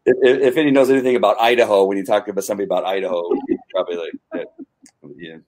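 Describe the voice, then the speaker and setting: Low-pitched man hosting a casual livestream. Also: steady tone, rapid-fire, a little mellow, poor audio. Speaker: host.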